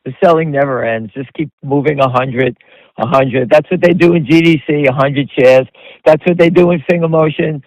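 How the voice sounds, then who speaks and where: A man's voice: fairly high pitch, normal speed, no echo, rough recording. A man hosting an off-the-cuff stock commentary call, speaking bluntly and emphatically, repeating himself as he addresses listeners directly. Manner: conversational, talks directly to listeners.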